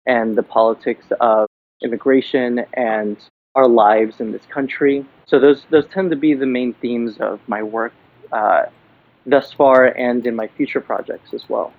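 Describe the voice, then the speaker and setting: A man's voice: medium pitch, fairly even intonation, unhurried, dry recording. A man from Miami, a comic book writer, answering interview questions thoughtfully from a basement. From Miami, Florida. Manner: thoughtful, many fillers and restarts. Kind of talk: podcast interview. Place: building basement. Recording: dry, phone-like remote line.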